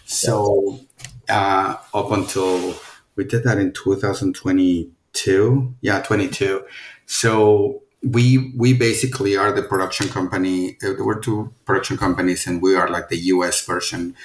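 A man's voice: low-pitched, fairly even intonation, measured pace, roomy sound, slight background noise. A middle-aged man from Mexico with a Mexican accent, a film producer talking casually in an interview and rambling through many restarts and fillers.

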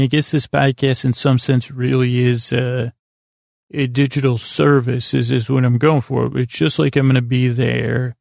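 Very creaky man rambling haltingly. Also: mid-range voice, darkish tone, no echo, studio quality. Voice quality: gravelly, creaky. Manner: meandering, halting, stammering, self-deprecating. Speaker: podcast host. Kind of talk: rambling bedtime monologue.

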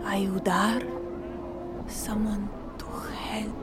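A woman's voice: mid-range voice, little pitch movement, drawn-out words, over loud music. A woman playing a frightened, shivering, distrustful outcast in a fantasy audio drama, speaking in fearful broken phrases. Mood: fragile hope.

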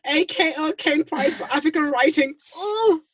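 A woman's voice: high-pitched, moderate pace, a little hiss or hum. A woman hosting a podcast, moving between scripted introduction and informal interview chat. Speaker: podcast host.

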